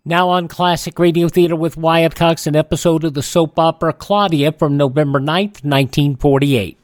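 A man with a higher voice announces in a crisp, practiced radio style. The recording is dry and close. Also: fairly even intonation, normal speed, no room sound. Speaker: radio show host.

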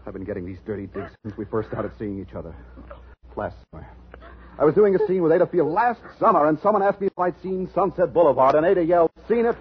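An actor playing a bitter, resentful young husband in his twenties in 1950s radio drama, brusque and husky-voiced. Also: on the high side, expressive, quick pace, background noise, close to an everyday voice. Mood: bitter, heated. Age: 20s. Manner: brusque, swinging from sullen to heated outbursts. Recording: dull, lo-fi 1950s radio recording.

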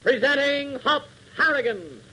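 A man's voice: extremely high pitch, expressive, a little slow, background noise. A man announcing and narrating a 1940s radio adventure serial, speaking emphatically and in a folksy way to young listeners. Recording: dull, lo-fi 1940s radio recording.

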